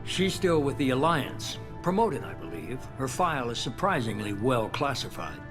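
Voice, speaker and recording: Video game shadowy boss: middle-aged, calculating, authoritative, measured delivery, music underneath. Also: male voice, medium pitch, big pitch swings. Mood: cool, commanding. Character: calculating middle-aged male shadowy organization leader, cool and commanding.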